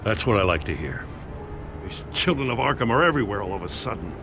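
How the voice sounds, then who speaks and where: An actor playing a weary, gruff middle-aged male police commissioner in a video game, blunt and tired. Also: fairly low pitch, very animated, fast talker, background noise.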